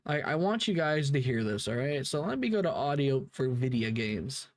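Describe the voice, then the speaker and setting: A man's voice: medium pitch, big pitch swings, moderate pace, no echo, studio quality. A man giving blunt, mocking, profanity-laced reaction commentary in a casual style. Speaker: reaction video host.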